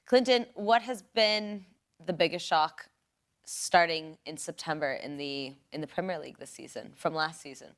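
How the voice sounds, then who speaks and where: A woman's voice: low voice, moderate pace. A woman hosting a casual football chat show, upbeat and teasing, guiding the talk with questions. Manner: upbeat, teasing, laughs often. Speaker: show host.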